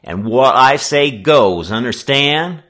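A man's voice: mid-range voice, lively intonation, takes it slowly, fairly bright tone, voice pushed up. A man voicing a domineering teenage gang leader in a storybook, slangy and snarling. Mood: bullying, aggressive. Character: bullying teenage boy, red-headed street gang leader.

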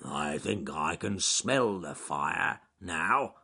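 A man voicing a big gentle male bear who talks slowly and deliberately with a growl. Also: sits low, expressive, vocal fry, slightly rough, full character voice. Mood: ponderous.

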